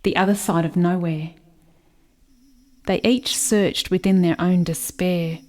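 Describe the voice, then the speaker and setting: A woman acts a haunted young settler girl in a radio drama. She talks very slowly and dreamily, with incantatory repetitions, as if grieving inwardly. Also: on the low side, fairly even intonation, darkish tone, natural voice. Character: haunted, dreamy settler girl mourning her lost brother. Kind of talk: literary radio play monologue.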